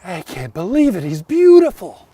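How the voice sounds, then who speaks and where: A man's voice: sits high, wildly swooping pitch, moderate pace, warm tone, slightly rough, no room sound, recorded from a distance, full character voice. A man voicing a humble, kind young outcast woman who speaks with earnest awe in a told folk tale. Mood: awestruck. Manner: awed, earnest.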